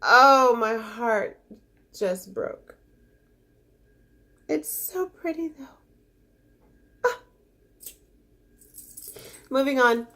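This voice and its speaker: A woman's voice: a little high, animated, extremely slow, long pauses, sharp tone. Congested woman chatting through an unboxing. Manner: chatty, gushing, easily disappointed. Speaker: beauty vlogger. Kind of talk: casual unboxing chat.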